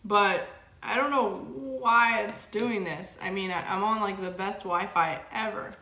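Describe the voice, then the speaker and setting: A woman's voice: medium pitch, a little slow, pushed and bright. Rambling young woman in an echoey room. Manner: rambling, filler-heavy, frequent "like". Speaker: livestream host reading chat. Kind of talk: casual livestream chat. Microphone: camera mic.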